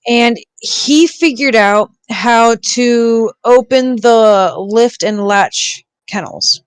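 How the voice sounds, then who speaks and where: Clear-voiced woman, close and dry. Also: a little high, very slow, no room sound. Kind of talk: casual chat, storytelling.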